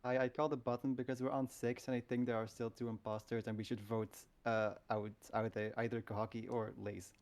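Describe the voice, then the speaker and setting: Quick, flat-toned man in game chat. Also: medium pitch. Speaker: player in a social deduction game. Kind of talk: casual game chat, explaining votes.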